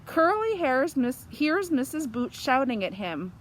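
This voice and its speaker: Slow, high-voiced woman reading to children. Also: noisy background. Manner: expressive, simple explaining to young children. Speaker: children's story reader. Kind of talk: animated read-aloud of a children's picture book.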